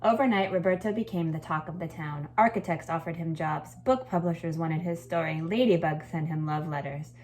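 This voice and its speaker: Low-pitched woman teacher. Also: a little fast, hardly any pauses, a little mellow, slight background noise. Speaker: teacher reading a picture book to pupils. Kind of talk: expressive storybook read-aloud, then informal assignment explanation.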